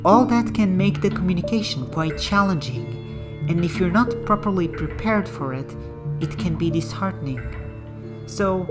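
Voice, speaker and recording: A woman with a notably low voice narrates in a calm, measured, explanatory way. Her scripted voice-over runs over a clear music bed. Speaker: language-learning channel host. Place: voice-over, not in the game.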